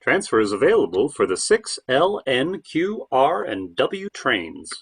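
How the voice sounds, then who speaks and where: Male transit announcer acted by a stage reader, husky, lively pitch, reciting transfer notices. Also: a little slow, exaggerated voice. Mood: announcing. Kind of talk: transit announcement in play reading.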